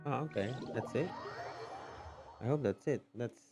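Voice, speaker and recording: A man's voice: medium pitch, unhurried. A man giving casual commentary as he streams a video game. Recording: game music behind voice.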